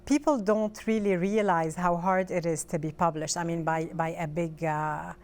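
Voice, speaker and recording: A woman's voice: fairly low pitch, steady tone, normal speed. A middle-aged woman from Lebanon, French her first language, chatting as an author in an interview, with hesitant speech and frequent restarts. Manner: hesitant, restarts often, fillers. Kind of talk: casual interview chat.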